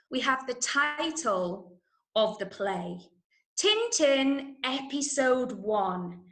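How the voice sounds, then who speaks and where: A woman from Manchester with an English accent, teaching an online drama lesson to children in an encouraging, clearly explained way. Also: high voice, fairly bright tone. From Manchester, England. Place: indoor room, online call. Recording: echoey room.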